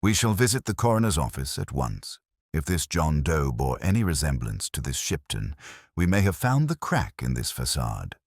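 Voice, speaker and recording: A man voicing a brisk Victorian detective in a terse, formal, probing way for a storybook. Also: extremely low pitch, sing-song, dry recording, studio quality, exaggerated voice. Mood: probing, intent.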